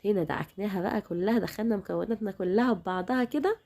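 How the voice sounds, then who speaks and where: Fast-talking Egyptian woman cooking host. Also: mid-range voice, gravelly, warm tone. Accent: Egyptian Arabic. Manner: chatty, speaks directly to viewers. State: voice slightly worn from recent illness. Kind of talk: home cooking demonstration.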